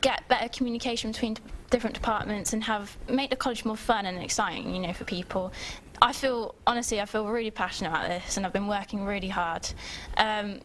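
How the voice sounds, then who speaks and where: A woman's voice: medium pitch, fast, fairly bright tone, slight background noise. Earnest young woman candidate. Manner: earnest, pleading.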